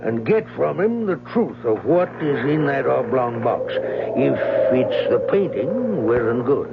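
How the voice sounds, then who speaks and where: An actor in an old-time radio drama playing a grave, authoritative sea captain, formal and emphatic, with a gravelly voice. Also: male voice, medium pitch, very animated, a little slow. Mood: firm, official. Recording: tinny, very noisy old radio recording.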